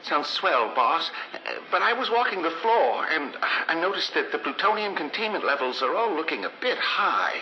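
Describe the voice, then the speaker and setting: An earnest, cautious American underling in a vintage radio play. His fairly high voice rises and falls freely, deferential to the boss. The recording is roomy and lo-fi. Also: very animated, normal speed. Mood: worried, respectful. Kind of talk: old-time radio drama acting. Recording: echoey lo-fi radio drama, faint noise.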